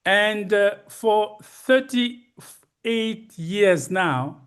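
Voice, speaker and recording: Male presenter, early seventies. High-pitched voice, halting and courteous delivery of a personal talk. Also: a bit bright, clean tone. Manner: halting, deliberate, formal and courteous. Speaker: conference speaker, retired ophthalmologist.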